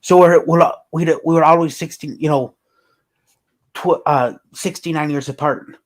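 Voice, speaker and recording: A man around thirty joins a relaxed livestream chat, working through dates and ages out loud. His voice sits a little high for a man, and he often restarts mid-sentence. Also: unhurried. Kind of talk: casual chat.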